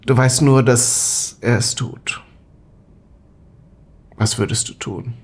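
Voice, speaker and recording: A man acting a brooding, burdened character in an intimate audio spy drama, reflective and halting. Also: medium pitch, steady tone, breathy edge. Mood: haunted, grave.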